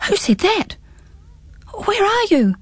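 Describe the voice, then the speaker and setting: Nervous polite storybook guy done by a woman, stammery, highish and bouncy, dry sound. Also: sing-song, a little slow, dry recording. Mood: startled. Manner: stammering, startled. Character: bewildered young man, nervous and polite. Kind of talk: storybook read-aloud.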